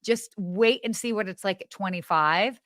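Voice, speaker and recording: A woman co-hosting a podcast in casual live chat, with an animated, giggly, exclamatory way of talking. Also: medium pitch, moderate pace, dry recording, studio quality. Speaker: podcast co-host.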